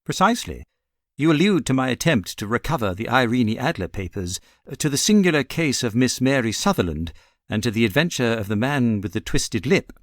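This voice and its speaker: A British man voicing a brilliant, self-assured gentleman detective in an audiobook, precise and theatrical as he sets things out. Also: medium pitch, big pitch swings, a little fast, no echo, clean studio sound, lightly acted. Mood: brisk, expository. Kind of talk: audiobook character reading.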